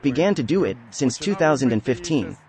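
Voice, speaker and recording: A man's voice: medium pitch, sing-song, fairly quick, a little mellow. A man, a car owner, answering the host's questions in brief, matter-of-fact replies outdoors. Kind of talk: interview answers.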